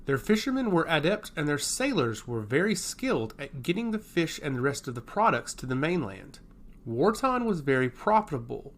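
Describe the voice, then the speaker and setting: Expressive male fantasy storyteller. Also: fairly high pitch, normal speed. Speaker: narrator. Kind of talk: scripted fantasy story narration.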